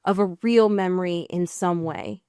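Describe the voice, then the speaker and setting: An American woman with a slightly low voice chats as a podcast co-host. She is emphatic and sweary, circling back to fix her own sentences. The sound is close and dry. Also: moderate pace, dark tone, smooth, dry recording. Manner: emphatic, sweary, rambling with self-corrections. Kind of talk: casual TV and film recap chat.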